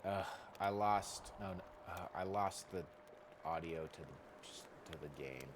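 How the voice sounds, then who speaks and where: A man's voice: sits low, slow pace, background noise. A man rambling casually as he livestreams a game, informal and given to mild, clean exclamations. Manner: informal, mild euphemisms for swearing.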